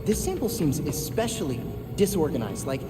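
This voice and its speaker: A man's voice: on the high side, big pitch swings, moderate pace, music in the background. A young American man playing a nerdy, earnest vigilante sidekick in a video game cutscene, hesitant and casual. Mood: earnest, puzzled. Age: young adult. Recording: echoey hall with loud score behind.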